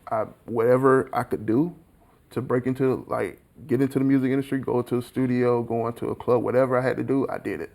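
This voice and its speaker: A man from Alabama speaks as a guest in a relaxed interview. His voice sits at a medium pitch with a noticeable gravelly creak. He has a Southern American accent and talks informally, peppering his answers with slang and little filler phrases. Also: quick pace. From small town in Alabama, USA. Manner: informal, slangy, frequent "like" and "you know". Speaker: guest, recording engineer and mixer. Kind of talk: casual interview chat.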